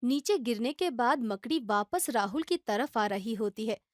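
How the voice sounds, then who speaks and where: High-voiced woman narrating a children's story. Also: measured pace, no room sound, studio quality. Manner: even, storytelling.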